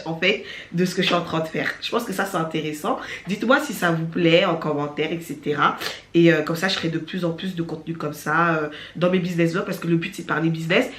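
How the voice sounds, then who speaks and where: A young woman vlogging at home, chatty and animated, with a stuffy nose from a cold. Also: fairly low pitch. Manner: chatty and animated, laughing often. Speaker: vlogger and beauty entrepreneur. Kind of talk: casual vlog talking to camera. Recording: echoey room, voice from far off.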